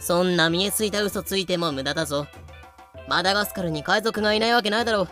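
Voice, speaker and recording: Brash ship captain for audio drama , performed by an actor, bright, forceful, blustering and stubborn, light music underneath. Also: medium pitch, fast, lightly acted. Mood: demanding. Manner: bossy, blustering. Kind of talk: Japanese anime-style audio drama acting.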